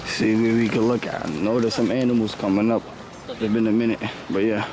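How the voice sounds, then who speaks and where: A man, hoarse from losing his voice, casually vlogging his walk around a city zoo in laid-back slang. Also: fairly low pitch, steady tone, brisk, noisy background. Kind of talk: casual vlog narration.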